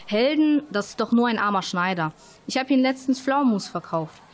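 Woman as a brash, high-voiced farmer woman. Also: expressive, fairly quick, lightly acted. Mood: indignant. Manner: hawking, indignant. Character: brash, grumbling market farmer woman selling plum jam. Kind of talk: children's fairy-tale radio play.

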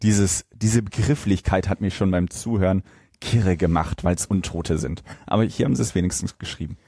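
A man's voice: sits low, normal speed, mellow, dry recording, clean studio sound. A man co-hosting a podcast, chatting informally and sharing his opinions. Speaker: podcast co-host. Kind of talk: casual podcast discussion.

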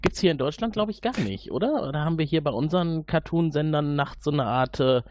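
A man's voice: a little high, expressive, fast talker, darkish tone, slight background noise. A man chatting casually as a podcast host.

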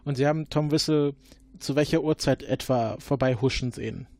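Casting: man as a stiff, hesitant investigator, mid-pitched, close and dry recording. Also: a little mellow, no room sound, natural voice. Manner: halting, formal, many fillers. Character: formal male time-travel agent questioning a witness.

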